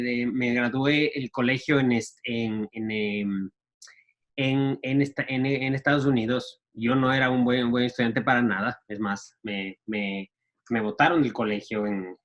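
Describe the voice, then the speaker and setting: A man, telling stories of his youth in a casual, halting way full of restarts and fillers. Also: mid-range voice, dull audio. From Ecuador. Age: 40s. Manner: halting, many restarts and fillers, laughs at himself. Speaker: guest entrepreneur. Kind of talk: casual storytelling.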